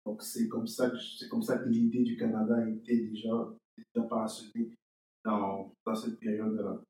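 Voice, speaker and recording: Young man, earnest guest, steady flat delivery, distant echoey room sound. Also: lowish voice, normal speed. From Cameroon. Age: young adult. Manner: earnest, repeats words while thinking. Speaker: podcast guest, entrepreneur. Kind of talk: conversational interview.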